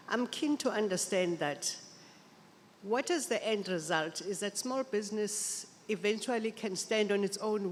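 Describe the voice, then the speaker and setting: A woman with a South African accent asking a question from the floor of a conference hall, with echo around her voice. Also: medium pitch. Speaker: audience questioner. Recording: echoey hall with clear background noise.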